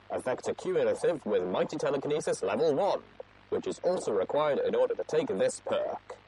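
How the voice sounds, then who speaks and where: A man's voice: mid-range voice, a little mellow, a little hiss or hum, rough recording. A man hosting a show, talking in a casual, slangy and colloquial way. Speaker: host. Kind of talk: casual show sign-off and commentary.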